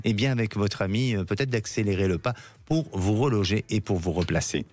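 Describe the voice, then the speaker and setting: A man hosting a live radio call-in as a psychic, speaking gently and hesitantly with many fillers. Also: fairly low pitch, fairly quick.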